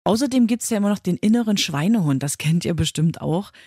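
A woman's voice: mid-range voice, expressive, a little fast, warm tone, dry recording, clean studio sound. A woman in her thirties talking casually and chattily as the co-host of a podcast, in everyday colloquial language. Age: 30s. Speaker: co-host, fitness beginner and working mother. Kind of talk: casual conversational podcast talk.

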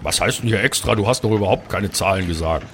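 A man's voice: fairly low pitch, fast talker, fairly bright tone, slight background noise. A man voicing a polite, earnest male adventurer who speaks in a hesitant, wordy way. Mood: polite, probing.

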